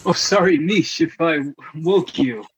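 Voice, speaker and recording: Man playing a high, flustered, stammering apologizer. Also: expressive, measured pace, a little hiss or hum, poor audio. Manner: stammering, rambling. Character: flustered, apologetic man anxiously explaining himself. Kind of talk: comedy radio play acting.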